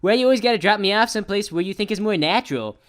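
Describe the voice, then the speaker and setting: A woman's voice: medium pitch, animated, racing, lightly acted. A woman voicing a brash, easygoing young cartoon pegasus pony who tells a story in a casual, rambling slacker way. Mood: mildly exasperated. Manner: casual slacker rambling, full of "like" and "you know". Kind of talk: improvised-sounding comic cartoon storytelling.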